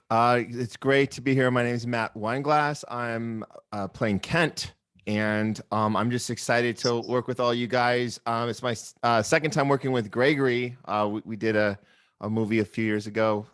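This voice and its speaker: A man's voice: medium pitch, steady tone, normal speed, fairly bright tone, clean tone. A man casually introducing himself on a video call, speaking in hesitant, stop-start phrases. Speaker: actor and filmmaker.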